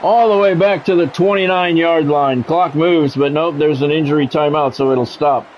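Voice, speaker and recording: A man's voice: a little high, normal speed, slight background noise, rough recording. A middle-aged or older man giving live play-by-play of a football video game in short, clipped announcer phrases. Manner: announcer-like, short clipped phrases, excited calls on big plays.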